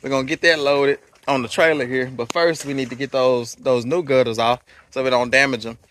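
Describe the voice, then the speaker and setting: A man's voice: medium pitch, steady tone, brisk, a bit bright, slight background noise. A man, hosting a casual outdoor vlog in a rural yard, rambling easily with fillers into a phone held at arm's length. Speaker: vlog host. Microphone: phone camera at arm's length.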